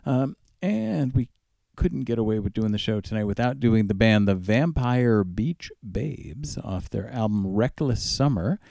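A male radio host with a relaxed, dark tone and a wide, lively pitch range. He presents music casually and speaks straight to the listeners, with gravelly creak in his voice. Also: measured pace, no echo, clean studio sound. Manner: informal, chatty, addressing listeners directly.

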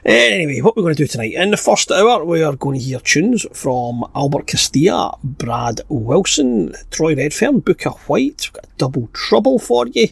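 A man's voice: mid-range voice, creaky, breathy edge, no room sound. A man hosting a blues radio show in a chatty, easygoing way, his voice congested from a cold, speaking into a studio microphone. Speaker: radio DJ host. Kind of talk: casual radio presenting.

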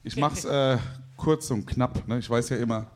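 A man's voice: medium pitch, normal speed. Man, DJ show host, casual chat, keeps adding question tags.

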